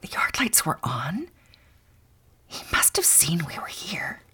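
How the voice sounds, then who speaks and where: Radio drama: distrustful rural mother in middle age, husky, animated delivery, prying and blunt. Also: fairly low pitch, slow, in a whisper, full character voice. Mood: suspicious whispering. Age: middle-aged. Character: suspicious middle-aged farm wife, mother of college boys. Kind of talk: naturalistic audio drama acting.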